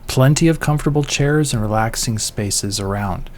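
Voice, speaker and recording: A man narrating a vlog in a measured, scripted voice-over, telling things in an orderly way. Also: medium pitch, darkish tone.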